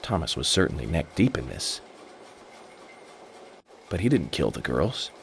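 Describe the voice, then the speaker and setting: Low-voiced, composed American detective. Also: male voice, big pitch swings, a little hiss or hum, heavily acted. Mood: calm, certain. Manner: measured, deliberate, explanatory. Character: composed, confident male FBI agent detective. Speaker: voice actor in a video game. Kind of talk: dramatic game cutscene acting.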